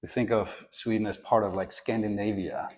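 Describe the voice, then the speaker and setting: A man's voice: fairly low pitch, fairly even intonation, fairly quick, a little mellow. A man from Germany, hosting a podcast interview and affirming often as he listens. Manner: attentive, frequent affirming backchannels. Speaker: podcast host. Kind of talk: casual interview conversation. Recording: dull lo-fi sound.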